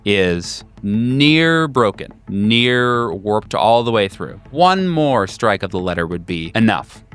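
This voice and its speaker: A man narrating a horror tabletop game as game master in a measured, deliberate way. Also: on the low side, very animated, fairly bright tone, clean tone, faint music behind.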